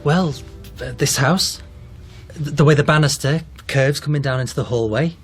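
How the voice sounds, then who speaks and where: Husky, hesitant long-lost son. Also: male voice, fairly high pitch, faint music behind. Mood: careful, nostalgic. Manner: halting recollection. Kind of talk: naturalistic radio drama acting.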